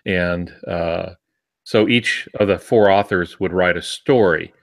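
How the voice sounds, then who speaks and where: A man, an author on a podcast panel, chatting casually about books in a halting, rambling way with frequent pauses. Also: low voice, vocal fry.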